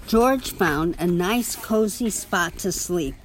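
A woman reading a children's picture book aloud in an expressive storytelling manner. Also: on the low side, slow pace, slight background noise, low-quality recording. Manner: expressive storytelling with small spoken asides. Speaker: story reader.

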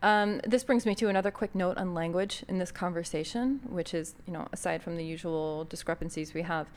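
A woman's voice: lowish voice, fairly quick, a little mellow. An American woman hosting a podcast, introducing an episode in a thoughtful, conversational way with frequent fillers. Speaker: podcast host.

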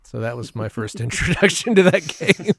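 A man's voice: fairly high pitch, big pitch swings, moderate pace, gravelly. A man chatting casually as a podcast guest, telling personal anecdotes.

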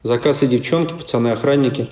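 A man, an actor narrating an audio play in a deadpan descriptive style. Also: mid-range voice, fast talker, close to an everyday voice. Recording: echoey hall with long reverb.

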